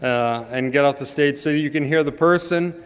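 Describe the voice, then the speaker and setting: A man's voice: on the high side, normal speed, smooth, long reverb tail. A man introducing the main speaker at an event, with dry humor and frequent hesitant fillers. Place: large hall.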